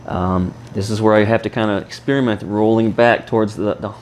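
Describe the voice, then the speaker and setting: Man, lowish voice, slightly rough, explaining vocal exercises, faint background noise. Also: fairly even intonation, fairly quick. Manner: explains while demonstrating sung vowels. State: slightly rough voice after sinus infection. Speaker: singing teacher. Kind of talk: vocal warm-up demonstration.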